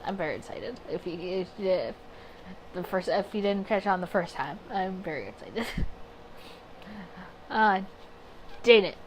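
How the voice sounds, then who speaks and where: A woman's voice: on the low side, expressive, measured pace, pauses often, a little hiss or hum. A woman hosting a visual novel playthrough, reading aloud and commenting to herself, sometimes stumbling over words. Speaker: gaming video host.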